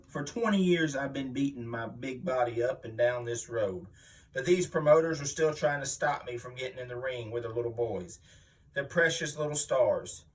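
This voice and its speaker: A man's voice: medium pitch, fairly bright tone, distant mic, close to an everyday voice, poor audio. A man playing a boastful, mean veteran wrestling villain, blustering and aggrieved as if giving an interview. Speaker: reader voicing an interviewed wrestler. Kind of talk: dramatic magazine interview reading, wrestling promo.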